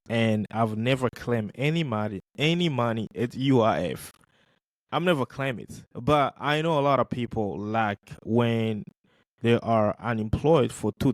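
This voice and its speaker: A man's voice: lowish voice, dry recording, rough recording. A man hosting a podcast, giving casual commentary on video clips, for whom English is not his first language, emphatic and repeating points for stress. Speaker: podcast host reacting to clips.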